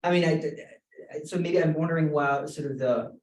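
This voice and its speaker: Man, a bit high, halting with "sort of"s, roomy room from far. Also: darkish tone. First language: French. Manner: halting, self-correcting, many "sort of"s. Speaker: graduate language instructor. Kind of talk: roundtable discussion.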